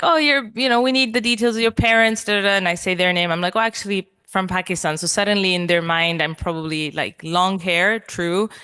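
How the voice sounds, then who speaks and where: A woman journalist in her thirties from Italy, giving a lively, chatty conference talk full of asides. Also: on the low side, smooth.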